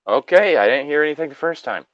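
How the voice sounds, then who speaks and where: A man's voice: on the high side, animated, fast, dry recording, dull audio. A man casually reading a story aloud as host, breaking off for joking, sweary asides.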